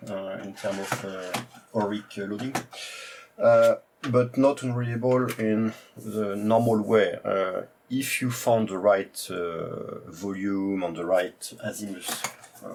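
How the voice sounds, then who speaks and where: A man with a French accent walks through old computer gear in a relaxed, halting way, his speech full of filler sounds. His voice sits low and has a gravelly edge. A faint hiss runs underneath. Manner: hesitant, heavy use of "uh" fillers. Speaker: retro computing video host. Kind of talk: casual show-and-tell presentation.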